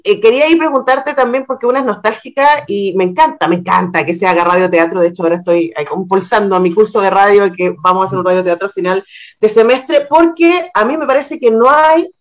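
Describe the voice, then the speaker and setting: A woman with a Chilean accent hosting a conversational radio interview, reflective and often adding small tag questions as she talks. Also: on the high side. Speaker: radio host interviewing a guest.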